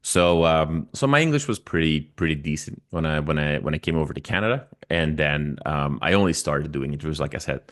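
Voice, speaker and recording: A man in his forties from Belgium, a native Dutch speaker with a Belgian Dutch accent, talking casually in an interview in a halting, self-correcting way. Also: a bass voice, animated, fairly bright tone. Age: 40s. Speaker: interview guest, music YouTuber.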